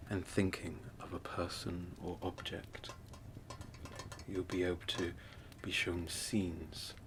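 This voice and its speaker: A man playing a calm, scholarly sorcerer mentor in an ASMR fantasy roleplay, speaking softly and gently in measured, near-whispered phrases. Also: deep voice, background noise. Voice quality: soft, breathy, often near whisper. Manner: slow, measured, gentle, explanatory. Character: calm scholarly male sorcerer mentor showing magical relics.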